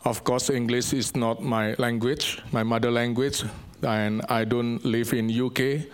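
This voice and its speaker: Male Indonesian-accented missionary preacher, slow delivery, halting non-native English, medium pitch. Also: steady tone, smooth. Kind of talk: church sermon and ministry update.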